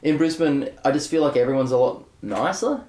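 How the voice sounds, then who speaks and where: A man's voice: mid-range voice, animated, fairly quick, a little mellow. Rambling man in an echoey room. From Australia. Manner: rambling, candid, many fillers and restarts. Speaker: guest bass player. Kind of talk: casual interview chat.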